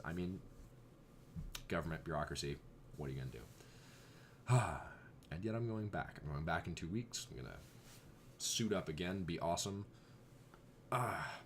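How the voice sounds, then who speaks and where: A young man vlogging in casual chat, rambling with exasperated asides, his voice going a bit hoarse. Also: low voice, a little hiss or hum.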